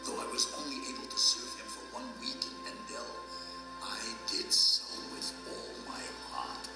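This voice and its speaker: Reformed wicked man, audio drama, very animated pitch, emotional, hearty, long hall reverb. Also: vocal fry, heavily acted. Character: reformed former villain, once gruff and wicked, now joyfully emotional. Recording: very echoey hall, music behind.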